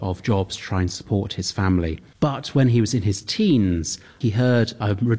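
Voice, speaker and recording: Man, from Wales, priest, lowish voice, earnest, explanatory, gently humorous. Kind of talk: interview answers.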